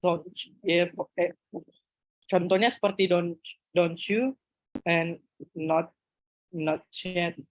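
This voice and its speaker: A woman moderating an online class, reading a lesson summary in a hesitant, halting way with many fillers. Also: fairly low pitch, fairly even intonation, no room sound, rough recording. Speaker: student moderator.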